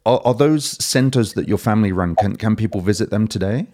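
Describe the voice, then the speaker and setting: Host, man, very low voice, eager interviewer with quick follow-up questions. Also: warm tone. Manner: curious and enthusiastic, with frequent short affirmations. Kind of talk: conversational interview.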